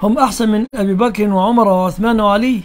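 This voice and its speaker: A man's voice: high voice, fairly even intonation, quick pace. A man with an Egyptian accent hosting a live call-in religious question session, answering callers bluntly and questioning them back.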